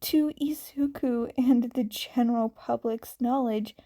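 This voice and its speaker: Soft-spoken woman reading a story. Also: on the high side, a little slow. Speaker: fanfiction narrator. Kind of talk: amateur audiobook narration.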